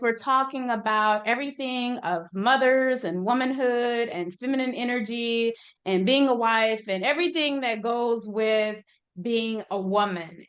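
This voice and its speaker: A woman's voice: on the high side, fairly bright tone, highs cut off. A woman hosting a podcast, speaking in a casual, conversational interview style.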